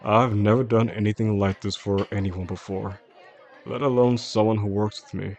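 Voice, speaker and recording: A man playing a charming, softly possessive boss in an intimate audio roleplay, speaking attentively and flirtatiously to the listener in an unhurried way. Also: fairly low pitch, steady tone, close to an everyday voice. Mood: warm, attentive. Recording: faint restaurant crowd behind the voice.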